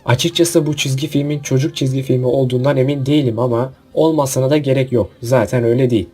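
A man's voice: medium pitch, steady tone, fast talker, darkish tone, slightly rough, soft background music. A man hosting a casual list video, giving opinionated commentary with jokey asides and rhetorical questions. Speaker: video host.

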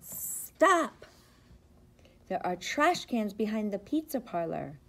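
A middle-aged woman, a preschool teacher, reads a picture book aloud to young children with expressive, rhythmic, sing-song phrasing. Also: medium pitch, slow pace. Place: indoors, school classroom. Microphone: device camera at about arm's length.

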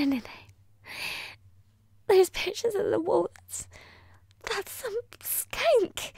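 A woman playing a ghostly, obsessive dead fiancée in a breathy, whispered ASMR roleplay, intimate, possessive and menacing. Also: sits high, darkish tone, no room sound, studio quality, full character voice. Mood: distraught, indignant.

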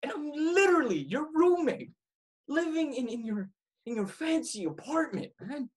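A young man in his twenties performing a dramatic monologue as a worried, exasperated roommate pleading in halting phrases. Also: very high voice, sing-song. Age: 20s. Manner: halting, repeating words. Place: small room indoors at home. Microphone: webcam at arm's length.

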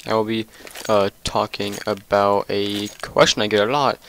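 A man's voice: fairly low pitch, steady tone, moderate pace, fairly bright tone, a little hiss or hum. A young man hosting a casual gameplay commentary, tired, stuttering often, with many fillers and restarts. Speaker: gaming channel host.

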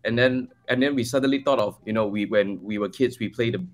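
A Malaysian-accented man in his forties talks as a guest on a video call. His voice is somewhat low, and he answers questions in a casual, conversational way. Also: a little fast. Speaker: guest, crypto project team member. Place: bright room at home, video call.

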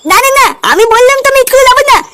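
Bratty cartoon schoolboy: tiny shrill voice, fast, whiny, defiant, noisy track. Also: female voice. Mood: sulky, defiant. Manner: whining, defiant, insolent. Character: bratty, foul-mouthed little schoolboy refusing school. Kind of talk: comedy cartoon dialogue.

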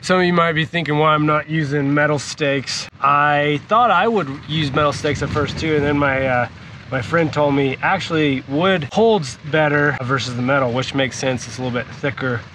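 A man's voice: on the high side, moderate pace, noisy background. Casual American man explaining his build. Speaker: DIY builder and vlogger. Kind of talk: casual explanatory vlog talk. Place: small home room while explaining at a desk, outdoors on a building site elsewhere.

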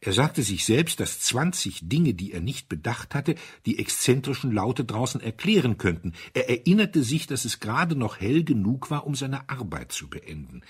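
A man narrating a mystery story from a script in an expressive, dramatic storytelling manner. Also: mid-range voice, normal speed, hardly any pauses, breathy edge. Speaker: audiobook narrator.

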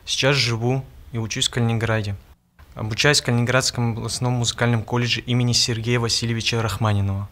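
Young man, 19, from Dagestan, even mid-pitched voice, calm measured read. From Dagestan, Russia. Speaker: music student. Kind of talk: scripted personal monologue.

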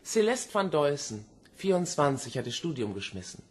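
A man's voice: a little high, big pitch swings, normal speed, breathy edge. An actor playing a male police investigator in a German radio crime drama, factual and businesslike. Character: matter-of-fact police investigator briefing a colleague.

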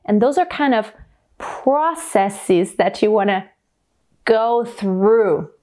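A woman's voice: medium pitch, expressive, slow, darkish tone. A woman in her thirties with a light German accent gives a singing lesson to camera. She speaks animatedly in a home room with a piano and demonstrates sounds as she explains them. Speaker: singing teacher.

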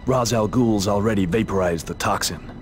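A man's voice: lowish voice, normal speed, over music, natural voice. Man playing a terse, brooding hero. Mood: grim resolve. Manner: terse, intense. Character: determined, brooding male vigilante hero. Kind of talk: dramatic video game cutscene acting.

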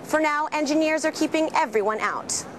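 A woman's voice: high-pitched, moderate pace, a little hiss or hum. A young American woman reporting scripted TV news in a formal, enunciated newscaster delivery. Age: young adult.

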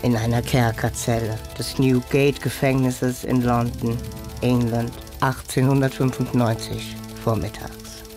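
Man as a flat-toned formal announcer. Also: medium pitch, measured pace, over music, close to an everyday voice. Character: formal male scene announcer. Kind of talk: scripted radio play scene announcement.

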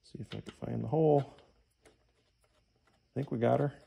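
A man's voice: mid-range voice, measured pace, creaky, mellow, husky. A middle-aged man gives a casual hands-on tutorial, muttering to himself as he works in a garage. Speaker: DIY engine rebuilder giving a how-to. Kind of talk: casual hands-on tutorial, talking while working.

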